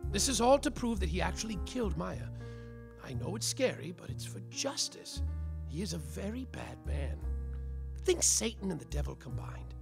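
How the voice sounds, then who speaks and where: A man's voice: high-pitched, big pitch swings, moderate pace, gravelly, over music, exaggerated voice. A man playing a world-weary, bumbling private detective, melodramatic and self-important, in a noir parody radio play. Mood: earnest persuading.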